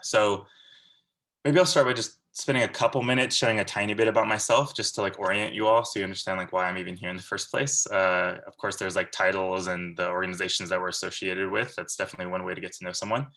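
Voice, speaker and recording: Man, 30s, low voice, flat delivery, earnest, rambling guest lecture, video call. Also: fast talker. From Central California, USA. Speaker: guest speaker. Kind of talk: casual lecture.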